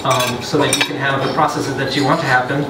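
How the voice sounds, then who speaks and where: A man's voice: mid-range voice, flat delivery, fast, far from the mic, heavy background noise. A man, a brewer, giving a chatty science talk to a pub audience, with joking asides and frequent restarts. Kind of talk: casual lecture to a pub audience. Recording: roomy, off-mic, loud background.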